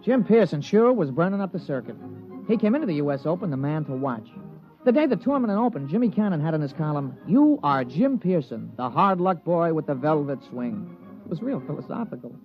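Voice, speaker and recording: An actor playing an excitable, folksy golf caddy in a 1950s radio drama, talking in slangy, ungrammatical working-class style. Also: male voice, on the high side, fairly quick. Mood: folksy narration. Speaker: narrator and sidekick character. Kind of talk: 1950s radio drama acting, colloquial narration. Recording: dull old radio broadcast recording, music behind.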